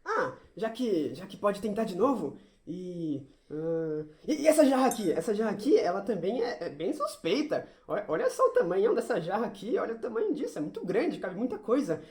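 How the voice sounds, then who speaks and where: A woman playing a naive, excitable, scatterbrained male amateur sleuth who rambles and repeats himself in a comic audio drama. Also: medium pitch, a little fast, hardly any pauses, natural voice. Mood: excited, rambling. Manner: rambling, repetitive, dramatic storytelling. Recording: roomy, echoey sound.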